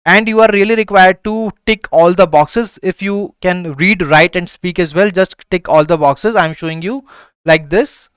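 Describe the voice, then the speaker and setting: A man with an Indian English accent and a high, smooth, resonant voice walks viewers through filling out an online form. He talks in a repetitive, reassuring way and sometimes slips into Hindi. The recording is close and dry. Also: moderate pace, a bit bright, no room sound. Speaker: exam prep tutor. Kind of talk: screen-recorded form walkthrough.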